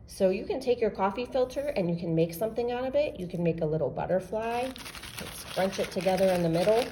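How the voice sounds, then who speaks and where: A woman's voice: mid-range voice, warm tone, a little hiss or hum, low-quality recording. A woman working as a children's storyteller, speaking expressively and simply as if to young children. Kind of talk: animated storytime narration and simple craft explanation for children.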